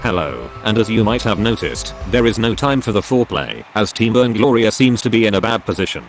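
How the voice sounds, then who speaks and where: A man's voice: on the low side, sing-song, a little fast, music in the background. A man giving play-by-play commentary on a game battle. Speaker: match commentator.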